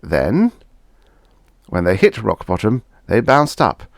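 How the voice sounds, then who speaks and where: A man's voice: on the low side, huge pitch swings, slow pace, gravelly, dry recording, studio quality, pitched above the performer's own voice, full character voice. A man voicing a desperate, cynical young widower in a science fiction audiobook, insistent and pleading. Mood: eager, nervous.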